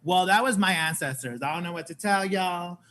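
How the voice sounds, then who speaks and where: A man in his thirties talking earnestly and with animated emphasis on a panel, speaking from home over a video call. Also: high-pitched, brisk, a little hiss or hum. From Puerto Rican and Colombian heritage. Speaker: show host on panel. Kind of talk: casual panel chat. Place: home room.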